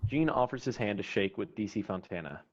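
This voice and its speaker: A man's voice: fairly low pitch, expressive, a little fast. A man reading scripted stage directions as narrator for an online screenplay table read. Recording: dull lo-fi online call audio.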